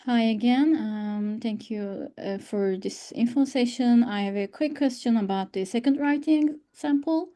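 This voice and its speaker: A woman's voice: on the high side, unhurried, few pauses, mellow. A woman whose first language is Turkish, an applicant asking a polite, careful question on a video call.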